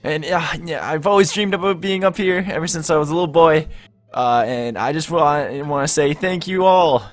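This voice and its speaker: A man playing an excitable, awkward young man in an improvised video game roleplay, stammering and giddy. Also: fairly high pitch, normal speed, close to an everyday voice. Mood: playful, flustered.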